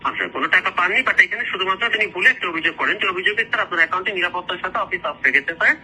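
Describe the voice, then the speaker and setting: A man's voice: high-pitched, rapid-fire, bright, forceful tone, breathy edge, exaggerated voice, rough recording. A Bangladeshi man playing a pushy, officious fake customer manager on the phone, formal and insistent, constantly saying sir. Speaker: phone caller. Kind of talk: prank phone call.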